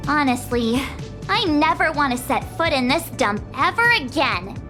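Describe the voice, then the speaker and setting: A woman's voice: sits high, expressive, normal speed, over music. A woman voicing a cute, candid young girl companion in a video game, with a girlish delivery. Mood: fed up.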